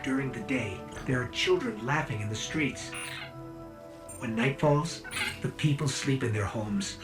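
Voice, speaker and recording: A man's voice: mid-range voice, unhurried, lightly acted. A man voicing a wry, encouraging robotic companion spirit in a video game, conversational and earnest. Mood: gentle, encouraging. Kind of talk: cinematic video game voice acting. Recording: music behind the voice.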